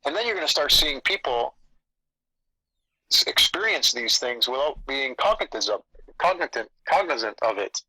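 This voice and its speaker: A man's voice: medium pitch, animated, normal speed, sharp tone, slightly rough, no room sound, rough recording. A man who grew up in Canada with Italian as his first language, rambling through a casual spiritual chat and repeating phrases. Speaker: spiritual channel host.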